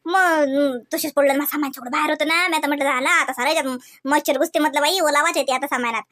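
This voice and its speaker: A woman's voice: sits high, fairly even intonation, quick pace, fairly bright tone, no room sound, clean studio sound. A woman voicing a harried, put-upon village daughter-in-law in a comedy cartoon, gossiping and complaining in a rural Varhadi Marathi accent. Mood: chatty gossip.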